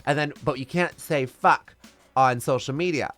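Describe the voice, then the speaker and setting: A man's voice: medium pitch, moderate pace, a bit bright, dry recording, soft background music. A man who performs as a drag queen, telling stories in a casual interview with a strong, theatrical, flamboyant voice. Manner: flamboyant, theatrical storyteller with big swoops.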